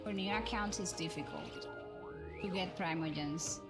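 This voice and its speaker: Slow-talking woman game streamer. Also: lowish voice. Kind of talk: casual livestream chat while playing. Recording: music under the voice.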